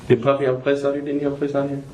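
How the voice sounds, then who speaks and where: A male interrogator with a mid-pitched voice asks short, informal investigative questions. He is recorded in an echoey room with faint noise. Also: completely flat delivery, rapid-fire, warm tone. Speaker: police interrogator. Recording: roomy, noisy police interview recording.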